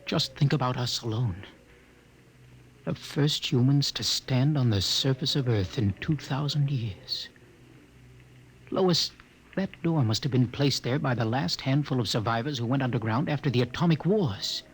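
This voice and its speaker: An American actor playing a young husband on the run, awed, tender and anxious, in an earnest, dramatic 1950s radio play style. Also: male voice, medium pitch, measured pace, natural voice. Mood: tender, hushed. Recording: noisy 1950 radio transcription.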